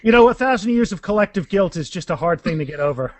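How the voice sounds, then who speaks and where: A man's voice: high-pitched, animated, quick pace. A man talking casually as an author in a podcast interview, often restarting and repeating words. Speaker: author being interviewed about their fantasy series.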